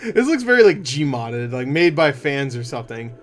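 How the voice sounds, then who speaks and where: A man's voice: mid-range voice, very animated, normal speed, noisy background. A man in his twenties giving casual, rambling, sweary reaction commentary as a video host. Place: small room at home.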